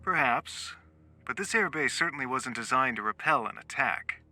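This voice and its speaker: An actor playing a composed, calculating colonel in a video game, speaking in a formal, dry, faintly superior way. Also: male voice, mid-range voice, lively intonation, moderate pace, bright, forceful tone, soft background music. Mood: smug, composed. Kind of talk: scripted video game acting.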